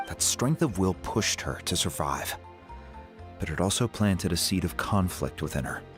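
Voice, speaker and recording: Gruff game chief, deep voice, animated intonation, avuncular storyteller, music behind. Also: male voice, normal speed. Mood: explaining. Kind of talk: video game voice acting.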